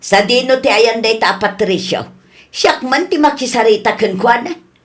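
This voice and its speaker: Radio comedy matriarch: actress as pushy, meddling older mother, Ilocano accent, higher register. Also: animated, normal speed, lightly acted. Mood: bossy, scolding. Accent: Ilocano Filipino. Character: bossy, meddling older mother who will not be refused.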